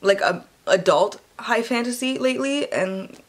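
A woman's voice: on the high side, unhurried. A woman in her twenties hosting a casual book vlog, talking in a playful, self-deprecating way. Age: 20s. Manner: playful, self-deprecating, theatrical when reading aloud. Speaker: book vlogger and host. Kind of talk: casual book haul chat with dramatic readings. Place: small indoor room lined with bookshelves.

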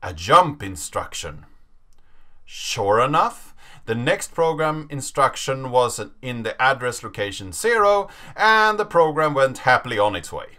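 A man in his thirties giving a dramatic reading of a story, measured and with deliberate pauses. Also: medium pitch, big pitch swings, bright, forceful tone. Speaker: presenter reading a story aloud. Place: small indoor room, seated at a desk.

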